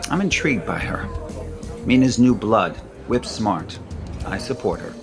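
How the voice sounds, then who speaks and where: A man's voice: fairly low pitch, expressive, unhurried. A middle-aged man acting a breezy, probing businessman and father in an audio soap opera. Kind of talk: naturalistic soap opera dialogue. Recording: studio drama with music underneath. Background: music.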